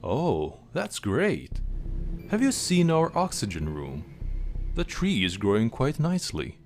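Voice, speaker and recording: A man voicing a swaggering, smooth-talking sinister cowboy spaceman in a comic dub, suave and drawling. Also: mid-range voice, wildly swooping pitch, takes it slowly, a little mellow, soft background music, full character voice.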